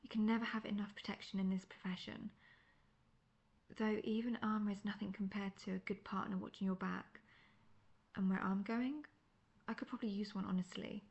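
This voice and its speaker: A woman's voice: medium pitch, fairly even intonation, fairly quick, a little mellow, dull audio, natural voice. A woman playing a seasoned, cocky monster hunter in a fantasy audio roleplay, talking in a wry, conversational, self-assured way. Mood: friendly, advising. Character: seasoned, cocky, world-weary female monster hunter, friendly mentor.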